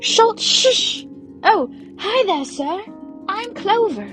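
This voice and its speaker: An actress playing a small, sweet, excitable goat woman in a fantasy audio drama, bubbly and flustered. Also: very high-pitched, slow, soft background music, exaggerated voice. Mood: chirpy, bashful.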